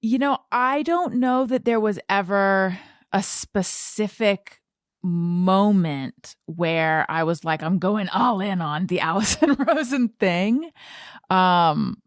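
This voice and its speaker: A woman's voice: mid-range voice, slow, dry recording, studio quality. A woman hosting a podcast, speaking in a casual conversational interview style. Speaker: podcast host.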